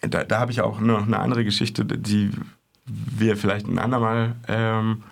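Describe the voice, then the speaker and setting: Low-voiced hesitant male radio correspondent. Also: flat delivery, measured pace, creaky. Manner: hesitant, many fillers and restarts. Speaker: art correspondent. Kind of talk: casual radio chat, reporting on art fairs.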